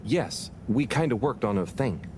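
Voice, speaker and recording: Actor as good-natured male crew member for a game: mid voice, lively inflection, noisy hum underneath. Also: medium pitch, moderate pace, natural voice. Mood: puzzled. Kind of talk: scripted video game dialogue.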